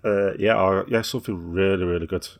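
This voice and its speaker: Man, gravelly, low, rambling casual podcast chat. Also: fairly even intonation, fairly quick. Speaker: podcast co-host.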